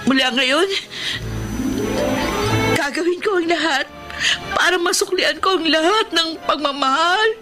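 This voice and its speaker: A woman's voice: very high voice, measured pace, long pauses. A woman playing an emotional eighteen-year-old daughter in a radio drama, tearful and pleading in a melodramatic style. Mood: tearful remorse. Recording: music bed behind the voice.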